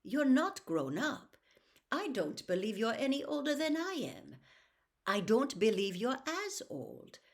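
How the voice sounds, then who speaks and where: A woman's voice: fairly high pitch, big pitch swings, unhurried, pitched above the performer's own voice. A woman voicing a naive young boy in a storybook, plain-spoken and questioning. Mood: challenging.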